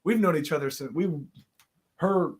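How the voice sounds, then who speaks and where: A man's voice: on the high side, moderate pace, husky. Rambling, laughing man in his thirties. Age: 30s. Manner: rambling storyteller who laughs and restarts often. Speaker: podcast guest. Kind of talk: casual chat.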